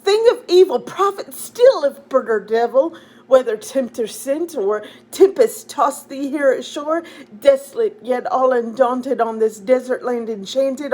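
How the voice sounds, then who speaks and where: A woman voicing a haunted, grieving man from a Gothic poem, with an impassioned, declamatory delivery. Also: high-pitched. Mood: desperate pleading.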